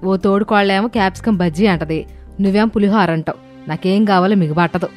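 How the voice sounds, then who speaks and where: A woman playing an older, domineering, nagging mother-in-law in an animated story, scornful and complaining. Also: mid-range voice, fast talker, natural voice. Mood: irritated, demanding. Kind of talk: animated moral story dialogue. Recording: voice over prominent music bed.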